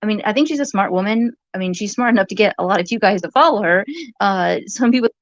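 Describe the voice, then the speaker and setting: A soft-voiced woman talking casually as in a vlog, halting and rambling. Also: medium pitch, dry recording, dull audio.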